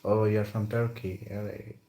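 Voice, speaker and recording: A young Indian man with an Indian accent speaks in a somewhat low voice and keeps the chat easy and friendly. He often fills his sentences with "like" and tags them with "right?". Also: flat delivery, moderate pace, warm tone, poor audio. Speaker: conversation partner. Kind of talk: casual conversation practice.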